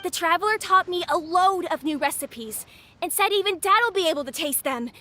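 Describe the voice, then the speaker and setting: A woman's voice: extremely high pitch, normal speed, sharp tone. A woman voicing a cheerful young child in a video game, calling out eagerly.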